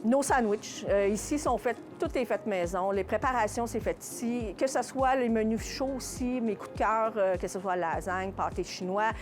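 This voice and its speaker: A woman with a Quebec French accent giving casual interview answers as a shop owner, haltingly searching for her words. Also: a little high, over music. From Contrecœur, Quebec, Canada. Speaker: small business owner being interviewed.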